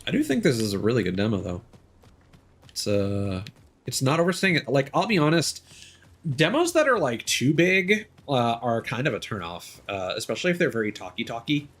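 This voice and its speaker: A man's voice: medium pitch, very animated, normal speed, a bit bright. A young man streaming a game, chatting casually with lots of fillers. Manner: rambling, many fillers, reads item text aloud. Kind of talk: casual gameplay commentary.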